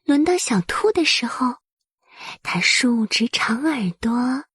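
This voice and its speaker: A woman narrating a bedtime story for small children in a soft, breathy voice, with gentle, soothing and careful phrasing. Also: high-pitched, animated, takes it slowly, no room sound, studio quality.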